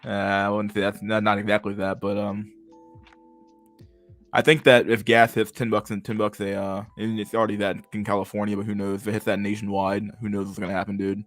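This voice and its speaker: A fairly low-voiced young man with a stammer and a slight lisp rambles to his stream chat, stalling on words and cursing often. Quiet music plays faintly behind him. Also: level tone. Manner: halting, restarts words often; swears freely. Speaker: livestream host reading chat. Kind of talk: casual livestream chat.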